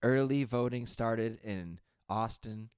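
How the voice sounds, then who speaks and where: A man's voice: medium pitch, slow pace, darkish tone, clear, resonant tone, dry recording, clean studio sound. A man from Texas with a Texan accent, co-hosting a podcast in boisterous, opinionated casual banter, laughing often. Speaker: podcast co-host.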